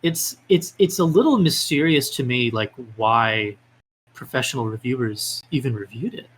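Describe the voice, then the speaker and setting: A man's voice: mid-range voice, expressive, normal speed, husky. A man being interviewed as a guest, talking casually with hesitant phrasing and lots of filler words. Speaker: podcast guest, independent game developer.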